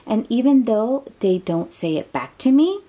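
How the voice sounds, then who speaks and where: A woman's voice: fairly high pitch, big pitch swings, moderate pace, dark tone, husky. A woman reading a picture book aloud to young children in an encouraging, exclaiming way, with chatty asides and questions to the listener.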